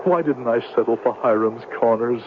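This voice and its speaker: A man's voice: mid-range voice, moderate pace, natural voice. An actor playing a grandiloquent, down-on-his-luck con man who poses as a doctor in a 1970s radio drama, speaking in a florid, theatrical way. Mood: mournful. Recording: tinny, noisy 1970s radio recording.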